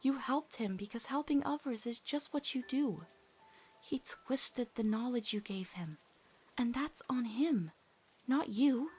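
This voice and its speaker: A woman voicing a gentle, steadfast teenage witch girl, speaking in a measured, reassuring way in a dramatic comic dub. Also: a little high, expressive, slight background noise. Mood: firm, consoling.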